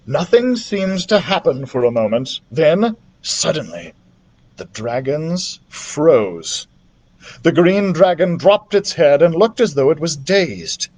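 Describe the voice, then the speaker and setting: A male storyteller reads a children's book aloud. His voice is fairly high for a man and very animated, rising and falling expressively through the narration. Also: slow. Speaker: library storyteller.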